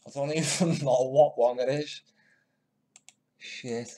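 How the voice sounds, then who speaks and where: A man's voice: mid-range voice, fairly even intonation, slow, mellow. A man giving casual, mocking commentary while editing clips, often muttering to himself. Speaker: video maker commenting on news clips.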